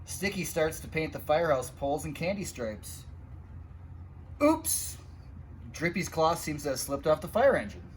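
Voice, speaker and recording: Male storytime reader, slightly high voice, expressive and child-directed, some background noise. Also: moderate pace. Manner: expressive, addressing young listeners with asides and sound effects. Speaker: firefighter reading a children's picture book aloud. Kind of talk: animated storytime read-aloud.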